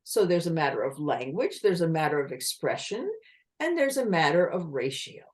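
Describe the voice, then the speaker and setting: A woman giving an online communication talk with slides, explaining in a lively manner and asking her listeners rhetorical questions over a video call. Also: deep voice, moderate pace. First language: English.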